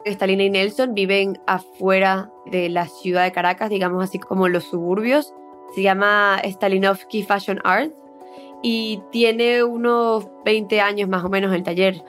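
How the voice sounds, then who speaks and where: Venezuelan woman reporting conversationally, mid pitch. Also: steady tone, measured pace, soft background music.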